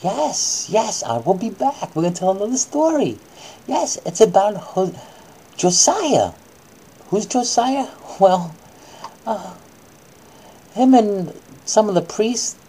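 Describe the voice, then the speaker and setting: Animated, soft-toned woman telling a bedtime story. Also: mid-range voice, takes it slowly, pauses often, husky. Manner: soothing coaxing asides alternating with dramatic reading. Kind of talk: acted satirical bedtime story read to children, with asides.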